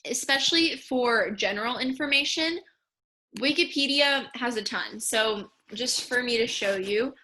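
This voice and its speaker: A woman's voice: fairly high pitch, measured pace, sharp tone, slight background noise, rough recording. A young woman giving a casual slideshow lesson on speech research, chatty with many fillers. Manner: chatty, lots of "um" and "like". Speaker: speech and debate student coach.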